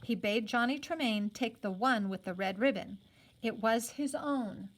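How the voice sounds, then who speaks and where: A woman reading a novel aloud as a teacher would, in an expressive storytelling style. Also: a little high, measured pace. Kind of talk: expressive story read-aloud with brief explanatory asides.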